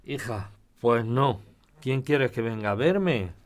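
A man's voice: mid-range voice, animated, slow. An actor with a Spain accent playing a proud, stubborn, grumbling old man in an audio drama. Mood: grumbling, confiding. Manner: emphatic, grumbling, scolding. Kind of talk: audio fiction podcast acting.

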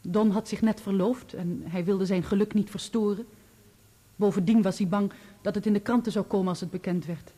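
Composed, mellow-voiced woman witness played by an actress. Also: medium pitch, steady tone, close to an everyday voice. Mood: wistful, devoted. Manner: measured, articulate answers in full formal sentences. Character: composed, loyal bereaved woman testifying as a witness. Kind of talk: Dutch radio play acting.

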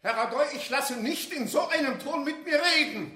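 A man's voice: very high-pitched, normal speed, bright, forceful tone, faint music behind. An actor playing an indignant male tenant in a radio drama, protesting in an agitated but formally polite way in an echoey stairwell. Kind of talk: German radio drama acting.

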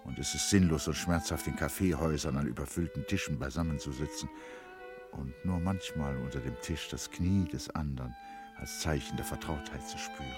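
Super deep male narrator, radio play, slow careful phrasing, strings in the background.

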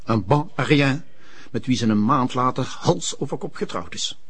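Very husky man a snobby rich gent, bitter and formal, drops french phrases, tv drama. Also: mid-range voice, animated, moderate pace, fairly bright tone. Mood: disdainful. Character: haughty, bitter wealthy gentleman with French affectations. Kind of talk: TV drama acting.

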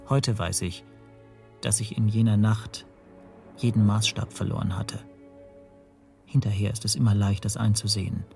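Male actor as dry sober narrator, mellow mid-pitched voice, measured radio play storytelling, faint music. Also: close to an everyday voice. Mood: wry reflection. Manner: measured, dryly understated. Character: wry, sober first-person narrator, foreign traveller in trouble. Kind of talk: scripted first-person radio play narration.

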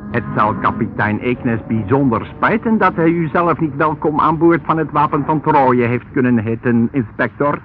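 An actor playing an evasive, formally polite ship's officer in a Dutch radio play, stiff and rehearsed. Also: male voice, mid-range voice, moderate pace, hardly any pauses, over music, natural voice. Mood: politely evasive. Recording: muffled, noisy old radio recording.

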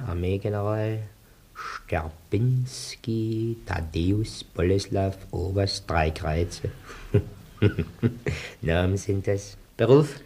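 An actor playing a menacing, mocking German Nazi agent interrogating people in a radio play. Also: male voice, sits low, mellow, heavily acted. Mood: gruff suspicion.

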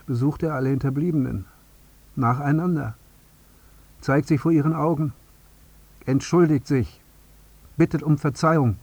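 An actor playing a bereaved, broken man in a radio drama monologue, speaking in stark, deliberate poetic phrases with a husky voice. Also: a little high, dry recording. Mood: insistent. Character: grieving bereaved father, broken, obsessive, demanding an apology.

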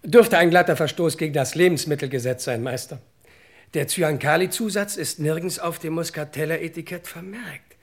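An actor playing an eager, quick-witted detective's sidekick in a tongue-in-cheek radio crime play, answering briskly and drily. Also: male voice, a little high, close to an everyday voice. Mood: dry, pat. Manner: brisk, dry, pat replies.

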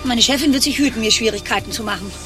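Theatrical, catty actress as secretary, music behind. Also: female voice, on the high side, fast, close to an everyday voice. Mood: smug. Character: catty, self-possessed woman secretary, dryly boastful. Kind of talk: satirical radio play dialogue.

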